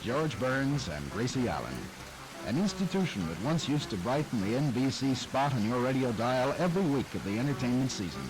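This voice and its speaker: A man reads scripted documentary narration in a smooth, announcer-like way, his pitch rising and falling expressively. Music plays clearly behind him. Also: big pitch swings, a little fast, low-quality recording.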